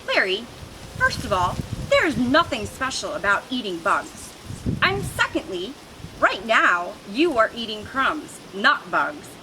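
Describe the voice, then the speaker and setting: A woman voicing a grumpy, fussy male lizard who scolds in a haughty, drawn-out way. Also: fairly high pitch, big pitch swings, noisy background. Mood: scolding.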